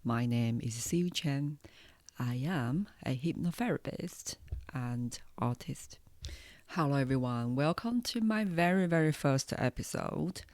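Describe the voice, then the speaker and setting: Female podcast host, Taiwanese accent. Slow, thoughtful, pausing delivery in a close, dry sound. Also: very deep voice, lively intonation, a little mellow, dry recording. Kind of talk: unscripted personal podcast monologue.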